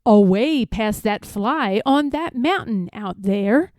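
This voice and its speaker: Storybook bear, male, gruff and scornful, animated swings, unhurried boasting, played by a woman. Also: medium pitch, no echo, clean studio sound. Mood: competitive boasting. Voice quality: gruff, growling. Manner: snorting, scornful, emphatic. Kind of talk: storybook read-aloud character voice.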